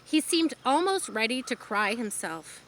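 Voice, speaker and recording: Woman reading a children's story, higher voice, very lively, faint birds and country air behind. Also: unhurried, a little hiss or hum. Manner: expressive, adds explanatory asides. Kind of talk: children's book read-aloud with asides. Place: outdoors, rural.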